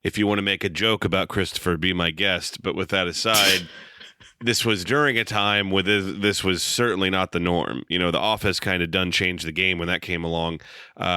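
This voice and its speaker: Very deep-voiced man, crisp and dry. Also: sharp tone, dry recording. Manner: dry, measured, wry humor. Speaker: podcast co-host. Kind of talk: casual film review chat.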